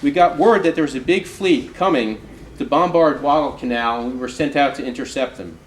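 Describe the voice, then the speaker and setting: A man, an author, reads an oral history aloud in measured storytelling with emphatic phrasing. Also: mid-range voice. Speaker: author reading from their book. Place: live reading in a roomy indoor space. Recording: roomy, echoing live recording with noise.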